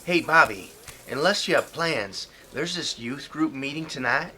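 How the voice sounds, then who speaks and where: A man playing an earnest, polite high school football player in an audio drama, sincere and mild. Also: animated, a little slow, bright, forceful tone, slight background noise, exaggerated voice.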